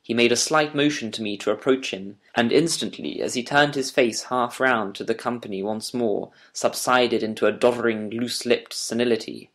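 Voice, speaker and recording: A man narrating a first-person audiobook story with measured, literary, deliberate phrasing. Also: mid-range voice, fairly even intonation, a bit bright. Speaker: audiobook narrator reading as Doctor Watson.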